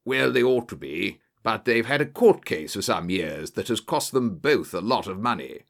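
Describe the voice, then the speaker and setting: Low gravelly male colonel played by a man, bluff country gentleman, matter-of-fact, lively intonation, storybook character. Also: moderate pace. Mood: cool, matter-of-fact. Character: bluff, genial old-soldier colonel, English country gentleman.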